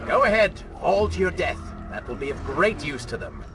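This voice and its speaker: A man's voice: fairly high pitch, sing-song, moderate pace. A man playing a disembodied inner voice for a video game, sardonic, impatient and commanding. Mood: cold mockery. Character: disembodied male inner voice, mocking, imperious, impatient. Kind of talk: dramatic video game acting. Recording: game music and effects behind voice.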